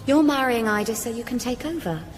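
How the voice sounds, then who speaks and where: A woman's voice: on the high side, brisk, slight background noise, natural voice. A woman playing an impulsive, paranoid young heroine, insistent and accusatory, in a comic radio drama. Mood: accusing, frantic. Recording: hall reverb with music behind.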